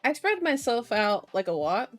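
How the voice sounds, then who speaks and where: High-voiced playful woman livestreamer over music. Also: big pitch swings, normal speed. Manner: playful, childlike phrasing, repeats herself. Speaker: VTuber livestream host. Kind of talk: casual livestream chat. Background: background music.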